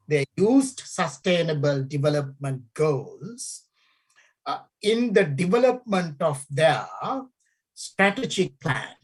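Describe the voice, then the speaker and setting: Slow, hesitant Sri woman. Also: sits low, slightly rough. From Sri Lanka. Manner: hesitant, full of fillers, repeats key phrases. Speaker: academic researcher. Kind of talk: conversational interview.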